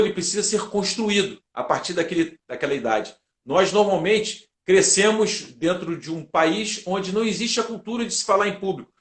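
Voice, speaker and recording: A Brazilian man answering as an interview guest, explaining methodically like a teacher and building his points in lists. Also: high-pitched. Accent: Brazilian Portuguese. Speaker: guest, public speaking teacher and lawyer. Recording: roomy, echoing sound.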